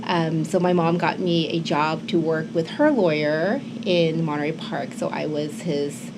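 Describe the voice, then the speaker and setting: Woman in her fifties, low voice, chatty TV guest, studio hiss behind. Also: fairly even intonation, a little slow. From Hong Kong. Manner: warm, chatty, many fillers and restarts. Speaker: immigration attorney, guest. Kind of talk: casual interview talk. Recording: local TV studio with noisy background.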